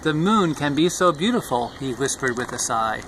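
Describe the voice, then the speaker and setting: Bright-voiced older man reading a rhyming storybook. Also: medium pitch, lively intonation, moderate pace, rough recording. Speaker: grandfather reading a children's book aloud. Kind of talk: rhyming storybook read-aloud. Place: outdoors in a park. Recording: outdoor recording with faint traffic noise. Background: faint outdoor and vehicle noise.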